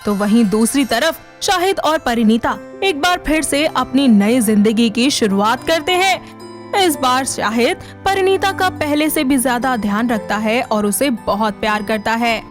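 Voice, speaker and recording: A high-pitched female storyteller narrates an animated moral tale in a scripted storytelling style. Soft music plays underneath her voice. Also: unhurried. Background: background music.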